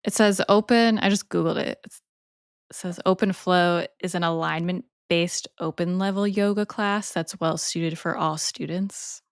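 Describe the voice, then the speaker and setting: A woman chats casually as a podcast co-host. Her voice is on the low side, smooth and clear, with a blunt, informal delivery. The sound is dry, with no room echo. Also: steady tone, measured pace. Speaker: true crime podcast co-host. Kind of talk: casual chat.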